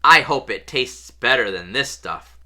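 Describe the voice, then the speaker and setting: A storybook schoolboy hero, read out by a narrator as wary and indignant. The voice is forceful and bright, blunt in its questioning, with lively pitch. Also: unhurried, full character voice. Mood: dry.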